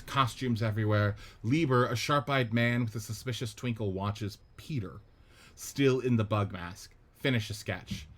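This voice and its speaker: A man narrating the stage directions of a radio play script in a steady, descriptive way. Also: mid-range voice.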